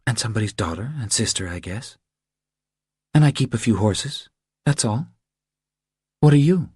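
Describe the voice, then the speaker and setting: Man voicing a soft, husky, guarded woman. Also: lowish voice, lively intonation, moderate pace, no room sound, clean studio sound, pitched above the performer's own voice. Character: nervous, inquisitive well-spoken woman, wife and mother.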